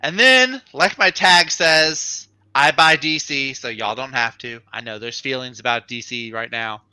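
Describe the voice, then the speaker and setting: Bright, crisp-voiced man reviewing comics. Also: mid-range voice, unhurried, no echo, low-quality recording. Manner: enthusiastic, informal. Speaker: comic book reviewer on a livestream show. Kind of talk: casual unscripted review chat.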